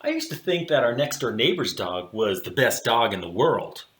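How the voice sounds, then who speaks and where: A man reading a children's picture book aloud expressively, with theatrical delivery and deliberate dramatic pauses. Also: medium pitch, very animated, fairly bright tone, slight background noise. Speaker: storybook reader for children. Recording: roomy, echoing room sound.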